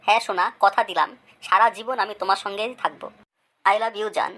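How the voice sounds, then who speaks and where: A woman's voice: mid-range voice, a little fast, fairly bright tone, natural voice. A woman voicing a cheeky, boastful schoolboy in a comic cartoon, talking in a swaggering, wheedling way. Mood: boastful, romantic.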